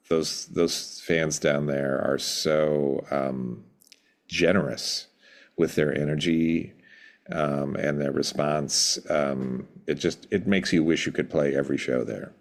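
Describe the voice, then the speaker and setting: A middle-aged man with an extremely low voice speaks as an interview guest. He tells stories reflectively, often pausing to search for the right words. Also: fairly even intonation. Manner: thoughtful, measured, pausing to find words. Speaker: podcast guest, rock band drummer.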